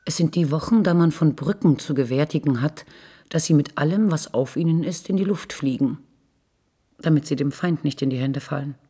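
A woman's voice: low voice, normal speed, dark tone, slightly rough. A woman narrating literary prose in a radio play.

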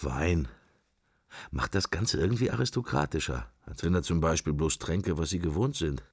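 A man's voice: very deep voice, lively intonation, moderate pace, breathy edge, no echo, clean studio sound, full character voice. An actor playing a wry, sceptical middle-aged man murmuring his fragmentary private thoughts in a radio drama. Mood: wry musing. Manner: murmured, fragmentary, associative musing. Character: musing middle-aged man, wry, sceptical, worldly, silently observing church. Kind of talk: radio drama interior monologue.

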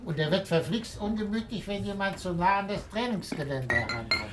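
A man's voice: high-pitched, animated, brisk, fairly bright tone, faint music behind. A man playing a hearty, chatty country innkeeper in a radio play, jovial and confiding, a little hesitant and cautious. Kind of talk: German radio play acting.

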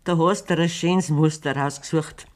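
An actress playing a worn-down but stubborn middle-aged farmer's wife with a Bavarian accent in a German radio play. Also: female voice, low-pitched, steady tone, normal speed, lightly acted. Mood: defiant, scornful. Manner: stubborn, bitterly sarcastic.

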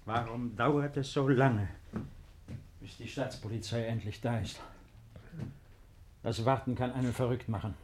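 An actor playing a proud, resigned baron awaiting arrest in a 1950s German radio play, speaking in a measured, formal, hesitant way. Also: male voice, mid-range voice, fairly even intonation, darkish tone, slight background noise, lightly acted. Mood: anxious, resigned.

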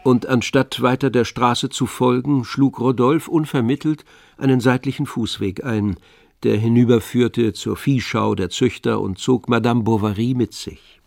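A man narrating a literary radio drama in a measured, precise storytelling manner. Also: mid-range voice, steady tone, gravelly, darkish tone. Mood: composed. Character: composed male literary narrator of a novel. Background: faint music with strings.

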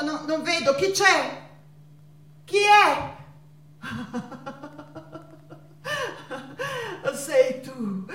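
An actress playing an anguished, exhausted painter possessively in love, in a dramatic, repetitive theatrical stage monologue. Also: female voice, sits high, heavily acted. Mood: abandoned, fearful, then pleading, weary. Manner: dramatic, repetitive, swinging from cries to murmurs. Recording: echoey stage, distant microphone.

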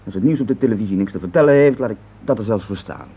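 A man's voice: fairly low pitch, lively intonation, rapid-fire, slightly rough. An actor playing an impatient, evasive middle-aged husband and office man in a radio play, grumbling and dismissive. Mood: harried, placating. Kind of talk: naturalistic Dutch radio play acting. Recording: muffled old radio play recording.

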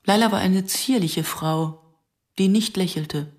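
A woman playing a sober, questioning film director who narrates her memories in a measured, observant way for a radio drama. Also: medium pitch, natural voice. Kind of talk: literary first-person radio drama narration.

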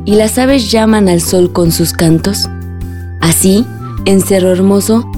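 A woman's voice: medium pitch, measured pace, dark tone. A woman narrating the scripted, lyrical introduction to a radio drama. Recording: music behind the voice.

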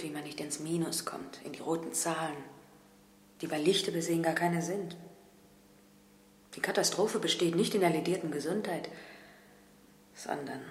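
An actress playing a stern but caring hospital doctor in a radio crime drama, speaking frankly and emphatically. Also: female voice, low voice, a little hiss or hum, close to an everyday voice. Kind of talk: 1970s German radio crime drama acting. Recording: echoey, reverberant room.

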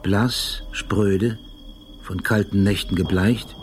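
An actor playing an obsessive police detective in a German radio drama, recounting things in a measured, deliberate, terse way. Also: male voice, on the low side, fairly even intonation, soft background music. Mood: grim, precise. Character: obsessive male police detective, precise brooding narrator haunted by memory.

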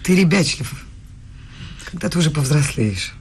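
A woman's voice: deep voice, expressive, normal speed, breathy edge, full character voice. An actress playing a stubborn, tender young woman student in love, in a radio play. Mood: defiant, firm.

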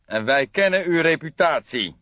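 A man voicing a violent gangster in an audiobook, speaking with emphatic, deliberate menace and indignation. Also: on the high side, lively intonation, sharp tone, no room sound, lo-fi sound, voice pushed up. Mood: menacing. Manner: agitated, emphatic, deliberate, threatening. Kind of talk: dramatised audiobook character reading.

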